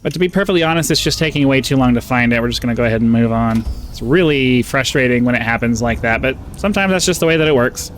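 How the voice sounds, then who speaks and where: A man's voice: mid-range voice, quick pace, few pauses, a little hiss or hum. Rambling nasal man over game sounds. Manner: rambling, easily distracted, frequent fillers. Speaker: gaming channel host. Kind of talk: rambling gameplay commentary.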